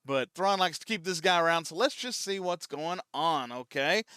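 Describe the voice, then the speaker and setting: A man's voice: fairly high pitch, lively intonation, moderate pace, pushed and bright, smooth, dry recording, clean studio sound. A man hosting a reaction video, giving a loose, rambling, conversational recap. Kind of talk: casual recap and reaction commentary.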